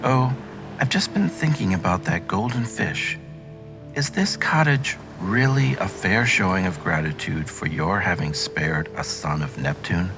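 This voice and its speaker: A man's voice: deep voice, animated, unhurried, over music. A man voicing a greedy, haughty fisherman's wife in a storybook, imperious and entitled. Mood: musing, discontented.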